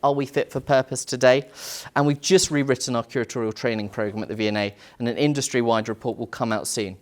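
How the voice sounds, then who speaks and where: A man with a voice of ordinary middle pitch speaks on a panel about his curatorial work. He sounds earnest and reflective, posing questions as he goes. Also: fairly even intonation, fast. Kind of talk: panel talk.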